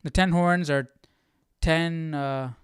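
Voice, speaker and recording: Male study-stream host, clean smooth voice, fairly high pitch, casual, thinking aloud, dry sound. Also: steady tone, slow pace, no room sound. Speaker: livestream Bible study host. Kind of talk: casual livestream chat and study commentary.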